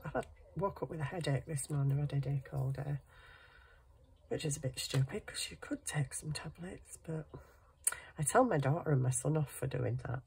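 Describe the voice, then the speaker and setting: A woman's voice: sits low, dark tone. A middle-aged woman with a congested cold, chatting casually and self-deprecatingly as she does crafts. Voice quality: husky, congested nose. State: bunged up with a cold, sniffing. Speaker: craft channel host. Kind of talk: casual chat while hand sewing. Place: indoors at a cluttered craft table.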